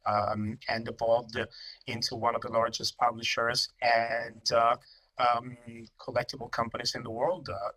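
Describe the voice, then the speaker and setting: A man in his fifties with an Italian accent, answering interview questions in a halting way, full of ums and restarts. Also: medium pitch, bright, forceful tone, poor audio. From Italy. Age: 50s. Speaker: company managing director, guest.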